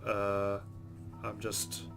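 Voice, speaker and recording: A man's voice: fairly low pitch, completely flat delivery, extremely slow, over music. A man reading a visual novel's narration aloud as a let's-play host, with casual commentary.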